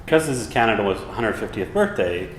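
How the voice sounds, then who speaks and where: A man's voice: on the low side, very animated, fairly quick, a little hiss or hum. A man who organized an exhibit, explaining its idea in an interview. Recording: echoey with faint ambience.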